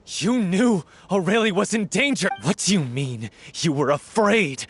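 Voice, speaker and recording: A man's voice: high voice, lively intonation, a little slow, fairly bright tone, a little hiss or hum. An actor playing a passionate, hot-tempered male stage performer in a video game, dramatic, intense and accusing. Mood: furious.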